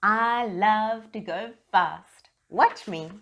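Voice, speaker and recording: A woman's voice: mid-range voice, extremely slow, a bit bright, muffled recording, pitched above the performer's own voice. A woman voicing an eager, boastful young boy locomotive in a children's storybook, earnest and exclamatory.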